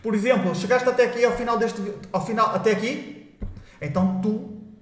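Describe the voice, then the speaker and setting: A man's voice: high voice, fairly even intonation, moderate pace, roomy sound. A man with a European Portuguese accent giving a rambling, repetitive spiritual talk, restarting his sentences and mocking people's excuses. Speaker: spiritual video host. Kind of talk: informal spiritual monologue.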